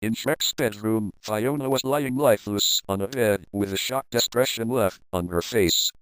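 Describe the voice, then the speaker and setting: A man's voice: on the low side, normal speed, a bit bright, smooth, no room sound, poor audio. A man reading a comic fan fiction story aloud in a playful, crude, dramatic manner.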